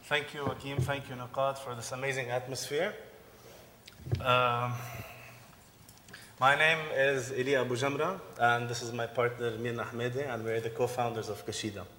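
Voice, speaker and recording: Guy giving a design talk at a conference, earnest, mid pitch, big hall with long reverb. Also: a bit bright, slight background noise. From Dubai. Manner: earnest, lecturing with occasional fillers. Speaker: design studio co-founder. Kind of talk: conference presentation. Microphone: handheld or podium microphone.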